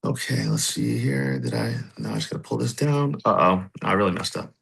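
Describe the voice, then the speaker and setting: A man hosting a podcast on a video call, upbeat and quick to stumble over his words when excited. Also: mid-range voice, gravelly, husky. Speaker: podcast host. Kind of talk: casual interview hosting.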